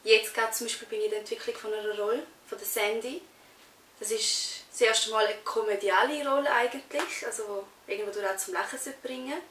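A woman's voice: fairly high pitch, steady tone, brisk, fairly bright tone. A young adult woman with a Swiss German accent, giving casual interview answers. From Switzerland. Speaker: interviewee, actress. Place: small indoor room, on a sofa. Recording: roomy echo, distant mic, faint hiss.

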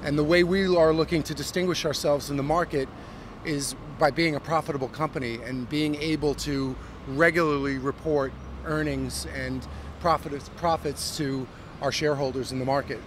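A middle-aged American man, a company CEO, giving a fluent corporate pitch with frequent ums and uhs in a street interview. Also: fairly even intonation, moderate pace. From United States. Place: busy downtown street outdoors. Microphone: handheld mic held by interviewer. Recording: outdoor street with traffic noise. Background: city traffic.

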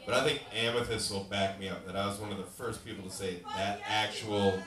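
A man's voice: on the low side, fairly even intonation, brisk. A man hosting a live stage show as emcee, with playful, theatrical, crowd-rousing banter. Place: small indoor lounge theater stage. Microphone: handheld stage mic, filmed from audience. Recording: roomy, distant microphone, loud live crowd.

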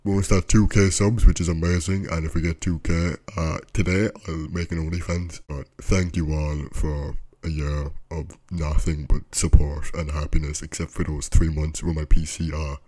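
Very deep, husky young man. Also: normal speed, few pauses. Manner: deadpan, low-key, swears casually. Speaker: gaming content creator. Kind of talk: casual chat.

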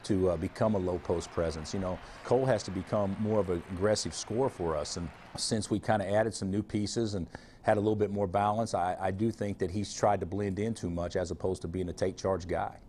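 A man's voice: sits low, steady tone, brisk, dark tone, slight background noise. A man in his forties, a college basketball coach, answering TV interview questions frankly, often restarting and repeating words. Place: studio remote booth.

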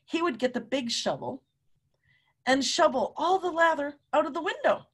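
A woman in her fifties reading a story aloud to young children, with animated, drawn-out emphasis and questions to her listeners. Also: high voice. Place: room at home.

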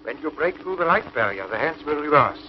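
An actor playing a precise scientist giving measured technical instructions in a 1950s TV sci-fi serial. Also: male voice, on the high side, big pitch swings. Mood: instructive. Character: precise, explaining male scientist. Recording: dull, noisy 1950s radio-like TV soundtrack.

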